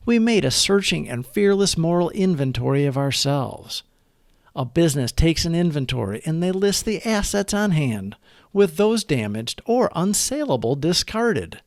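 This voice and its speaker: Man reading devotionals, lively rise and fall. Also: a little high, dry recording, clean studio sound. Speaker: narrator of recovery meditation readings. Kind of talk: scripted devotional read-aloud.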